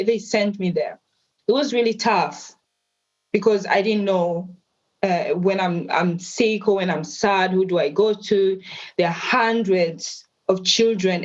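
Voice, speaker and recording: A woman, telling her life story as an interview guest in a reflective, measured way. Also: lowish voice, darkish tone, lo-fi sound. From Tanzania.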